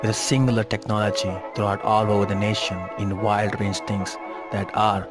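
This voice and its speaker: A man's voice: lowish voice, little pitch movement, moderate pace, loud music behind the voice. A man reading a scripted history narration as a documentary narrator.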